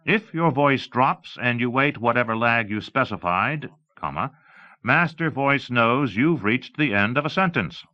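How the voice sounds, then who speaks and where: A man's voice: mid-range voice, expressive, a little slow, pushed and bright, voice pushed up. A man narrating an audiobook, voicing an eager, showy salesman with emphatic, carefully enunciated speech in slightly muffled audio. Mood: enthusiastic explaining. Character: eager, showy salesman demonstrating voice software to an audience. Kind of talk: audiobook character dialogue.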